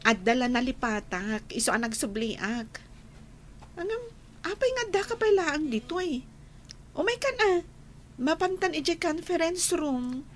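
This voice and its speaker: High-voiced, firm young Ilocano woman. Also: sing-song, a little slow, long pauses. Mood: defensive. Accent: Filipino, Ilocano. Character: firm young woman refusing her former suitor. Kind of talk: radio drama acting.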